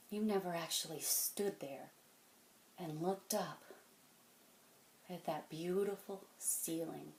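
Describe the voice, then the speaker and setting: A woman performing a dramatic monologue as a weary, wounded, stern mentor, speaking deliberately and intensely, moving between hushed and forceful. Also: on the low side, slight background noise, close to an everyday voice. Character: weary, wounded, stern mentor confronting a cocky young genius. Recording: echoey room.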